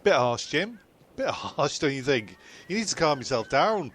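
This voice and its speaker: Man playing nagging, animated castaway. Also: fairly high pitch, moderate pace. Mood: chiding. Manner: repeats himself. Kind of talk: improvised comedy audio drama.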